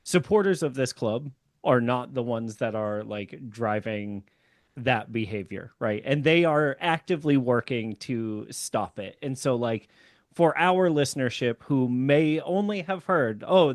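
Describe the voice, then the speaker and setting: Man, podcast host, relaxed, chatty, dry room. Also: mid-range voice, measured pace, dry recording. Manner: relaxed, chatty, many fillers like "like". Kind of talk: casual podcast chat.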